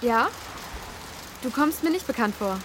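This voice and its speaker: A young woman student in a radio drama. She is guarded yet obliging, answering briefly in a fairly high voice. Faint rain and street noise surround her. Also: animated, a little slow. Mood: puzzled, wary. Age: young adult.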